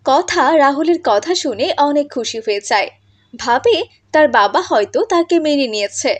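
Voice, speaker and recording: A woman's voice: high-pitched, animated, normal speed. A woman reading scripted narration for a cartoon moral story.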